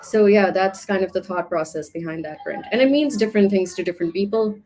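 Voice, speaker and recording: A woman's voice: medium pitch, fast, darkish tone. A woman from Pakistan, an art professor, answering interview questions in a reflective, talkative way with many casual fillers.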